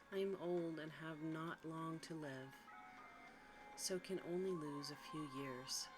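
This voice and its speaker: A humble old father acted out by a storyteller in a hushed bedtime fairy tale. The merchant sounds sorrowful and resigned, speaking formally over clear music. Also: medium pitch, close to an everyday voice, poor audio. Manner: pleading, resigned, formal old-fashioned speech. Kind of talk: hushed ASMR storybook reading.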